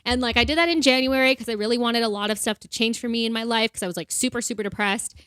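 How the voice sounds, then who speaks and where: A young woman chats away on a podcast, bubbly and loose with fillers. Her voice sits a little high. The sound is close and dry, with no room around it. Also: fairly even intonation, rapid-fire, fairly bright tone, clean tone, dry recording. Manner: chatty, bubbly, many fillers. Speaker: podcast co-host. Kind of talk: casual chat.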